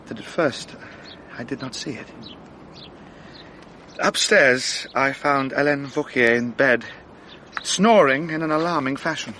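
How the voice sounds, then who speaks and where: An actor playing an earnest, dutiful French police sergeant in a radio drama, speaking formally as if giving a step-by-step report. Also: male voice, mid-range voice, bright, forceful tone, a little hiss or hum.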